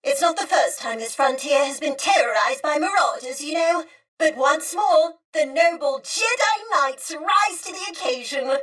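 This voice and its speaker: Video game droid: high, courteous, old-fashioned and earnest, wistful streak, sounding set back from the mic. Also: female voice, moderate pace, a bit bright, distant mic, rough recording. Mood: prim indignation. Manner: prim, formal, courteous diction. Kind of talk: video game character acting.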